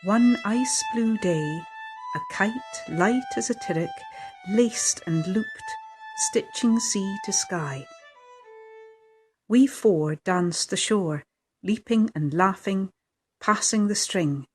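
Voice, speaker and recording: A woman reads her own picture book at a leisurely pace. Her telling lilts at a middling pitch, and a faint fiddle plays underneath. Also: slow. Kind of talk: storybook read-aloud. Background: faint fiddle music.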